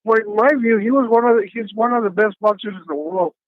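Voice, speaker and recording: A man in his fifties from Texas, calling in from his car while driving, speaking politely in halting sentences with many restarts. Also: high voice. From Texas, USA. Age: 50s. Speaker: caller. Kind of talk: phone call-in. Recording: dull phone line.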